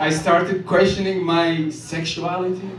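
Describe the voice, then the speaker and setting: A man from Ethiopia performing a stand-up set in a comedy club, delivering jokes deadpan and chuckling at his own lines. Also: high-pitched, unhurried. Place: comedy club stage with live audience. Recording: echoey club room, from far off, loud noise.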